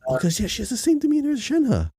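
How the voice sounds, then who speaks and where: A man's voice: extremely high pitch, huge pitch swings, fast talker, warm tone, breathy edge, no room sound. A man co-hosting a podcast, chatting casually as he thinks through a guessing game. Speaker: podcast co-host.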